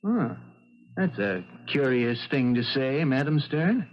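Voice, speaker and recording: A man's voice: medium pitch, very animated, slow pace. An actor playing a composed, inquisitive man, a doctor, in a 1970s radio drama, speaking politely and matter-of-factly. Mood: measured. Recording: dull vintage radio recording.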